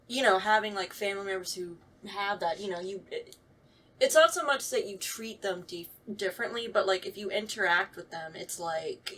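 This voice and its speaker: A woman's voice: mid-range voice, a bit bright. A woman talking casually and a little hesitantly as a voice actor, circling her way toward each point. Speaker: voice actor on a talkback show. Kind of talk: casual chat. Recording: distant mic with clear background noise.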